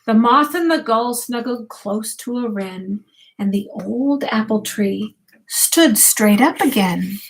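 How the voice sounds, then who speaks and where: A woman's voice: on the high side, expressive, slow pace, low-quality recording. An older woman, a grandmother, reads a rhyming picture book aloud expressively and unhurriedly, speaking directly to young children. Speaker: grandmother reading a bedtime story to children.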